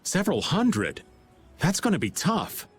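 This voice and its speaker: A man's voice: sits high, wildly swooping pitch, a little slow, soft background music, heavily acted. A man playing an easygoing, worldly middle-aged rogue with a wry, folksy manner in an anime game dub. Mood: grave.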